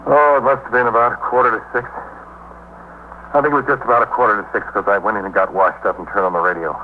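A man acting an anxious husband in a 1950s radio police drama. His voice sits a little low, and he hesitates, repeats himself and bristles defensively. The old recording is narrow and tinny with faint noise. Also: steady tone, fast talker, close to an everyday voice. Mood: hesitant, explaining. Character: anxious, evasive husband, a bakery promotion man.

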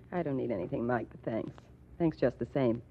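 A woman's voice: extremely low pitch, measured pace, slight background noise. An actress playing a subdued, anxious woman, a gangster's old flame, hesitant and earnest, in 1940s radio style. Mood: anxious, grateful. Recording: thin, tinny old radio recording.

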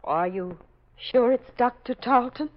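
A woman's voice: fairly high pitch, a little slow, soft background music, lightly acted. An actress playing an anxious but determined young woman in an earnest, breathless 1940s radio mystery style. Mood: alarmed. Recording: dull, noisy old radio recording.

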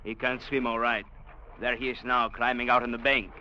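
An actor playing a brutal, bullying captain in an old radio drama, menacing and mocking, barking orders. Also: male voice, mid-range voice, level tone, moderate pace, bright, forceful tone. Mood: curt, cold. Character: brutal, bullying sea captain ruling a jungle trading post. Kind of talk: 1940s-50s radio drama acting. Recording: muffled old radio recording, faint noise.

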